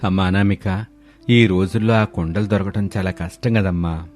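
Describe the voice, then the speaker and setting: Man voicing a low, rustic, kindly older father-in-law. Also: steady tone, moderate pace, a little mellow, natural voice. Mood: gently doubtful. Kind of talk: cartoon family story. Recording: music bed under voice.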